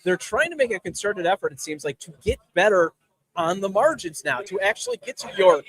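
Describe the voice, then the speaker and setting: A man's voice: high-pitched, very animated, fairly quick, rough recording. A man hosting a casual sports talk show, putting questions and opinions to fellow guests. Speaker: podcast host.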